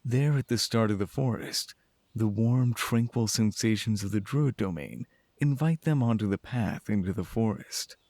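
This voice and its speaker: Male fantasy narrator, low-pitched, scripted storytelling read, close and dry. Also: lively intonation, moderate pace, a little mellow, no echo.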